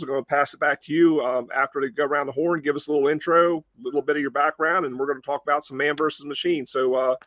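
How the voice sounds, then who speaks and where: A man's voice: mid-range voice, fairly bright tone, no room sound. A man with a Mid-Atlantic Delmarva accent hosting a casual firefighter webcast, chatty with lots of uh and um. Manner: chatty, many fillers. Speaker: webcast host.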